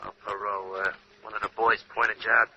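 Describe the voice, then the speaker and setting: A man's voice: on the low side, little pitch movement, a little fast, close to an everyday voice. Actor as polite elevator man, muffled radio. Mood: deferential. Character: polite new male hotel elevator operator. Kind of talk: old-time radio drama acting. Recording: muffled, noisy old radio broadcast.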